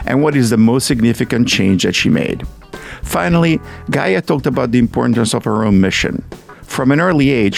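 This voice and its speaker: A man hosting a podcast, reading his introduction in a measured, deliberate way. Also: mid-range voice, gravelly. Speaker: podcast host. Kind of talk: scripted podcast intro and interview.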